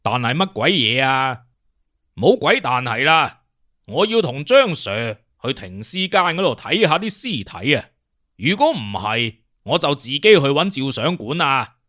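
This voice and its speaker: A man's voice: medium pitch, sing-song, a little slow, pushed and bright, no echo, studio quality, pitched below the performer's own voice. A man voicing a cocky, teasing detective consultant in an audiobook, speaking slangy with a swaggering, mocking manner. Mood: mocking, impatient.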